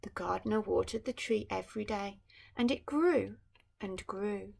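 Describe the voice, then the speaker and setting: A woman teacher reading a storybook aloud to children, adding gentle, musing asides to her young listeners. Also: mid-range voice, unhurried, gravelly. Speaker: primary school teacher.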